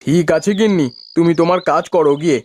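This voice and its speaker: A man's voice: fairly high pitch, quick pace, a little hiss or hum, natural voice. A man playing a kindly, eager village rice farmer and husband in a Bengali cartoon story, with homely, exclamatory talk. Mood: cheerful.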